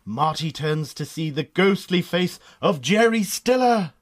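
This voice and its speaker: A man's voice: fairly high pitch, expressive, measured pace. A man with a Northern Irish accent narrating a scripted radio drama.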